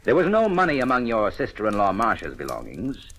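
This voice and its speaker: An actor playing a shrewd, relentless police inspector, questioning deliberately and pointedly in 1940s radio detective style. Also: male voice, fairly low pitch, huge pitch swings, fairly bright tone, a little hiss or hum, exaggerated voice. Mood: accusing.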